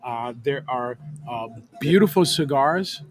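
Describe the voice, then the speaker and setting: A man who works in hospitality, a podcast guest, telling stories in a measured, filler-heavy way, recorded outdoors in a back alley at night. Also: mid-range voice, expressive. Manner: warm storytelling, measured, many fillers. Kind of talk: casual chat.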